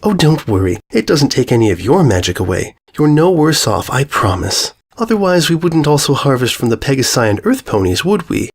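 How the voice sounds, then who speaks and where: A man voicing a calm, regal female pony ruler, gracious and condescending, with unfeeling menace, in a horror story. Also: medium pitch, brisk, gravelly, hardly any pauses, slightly rough, pitched above the performer's own voice, exaggerated voice. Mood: reassuring, smooth. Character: serene, regal mare ruler, politely sinister and unfeeling. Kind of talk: dramatized horror fan fiction reading.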